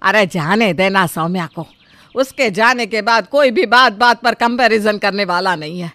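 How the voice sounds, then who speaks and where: A woman's voice: mid-range voice, moderate pace, sharp tone, dry recording, close to an everyday voice. Woman playing a bossy scolding mother-in-law. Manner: scolding, commanding. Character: bossy older mother-in-law, sharp-tongued grandmother. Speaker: voice actor. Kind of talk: Hindi storybook drama acting.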